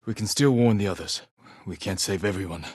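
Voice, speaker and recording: An actor playing an honourable, stoic samurai warrior in a video game, speaking in a measured, solemn way with short, clipped sentences. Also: male voice, low-pitched, darkish tone, husky, no room sound, clean studio sound. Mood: calm, resolute. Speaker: video game lead character. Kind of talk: dramatic video game voice acting.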